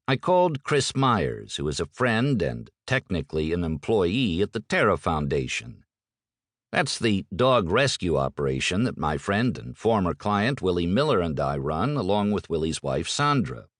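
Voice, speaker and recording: A man's voice: on the low side, fairly bright tone, no echo, studio quality. A man reading a first-person audiobook in a wry, conversational manner. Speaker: audiobook narrator.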